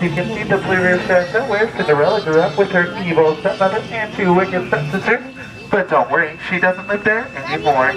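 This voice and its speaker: A man working as a boat ride guide, narrating a scripted storytelling tour with theatrical, drawn-out emphasis on key words. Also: sits high. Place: outdoors aboard a tour boat. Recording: distant amateur recording with loud background music. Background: music and ride ambience.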